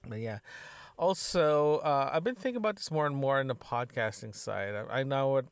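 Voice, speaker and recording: A man's voice: medium pitch, expressive, moderate pace, clean tone, no echo. A man talking casually into a podcast microphone as a solo audio diary, rambling, often circling back and correcting himself. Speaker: podcaster, system designer.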